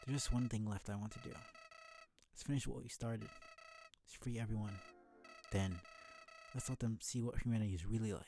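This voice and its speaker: A man voicing a bored, manipulative killer flower from a video game, reading its monologue in a deliberate, brooding, theatrical way. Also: lowish voice, dark tone, close to an everyday voice. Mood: weary, conspiratorial. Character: sinister talking flower, bored, manipulative, world-weary killer. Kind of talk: video game dialogue read aloud. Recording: music behind the voice.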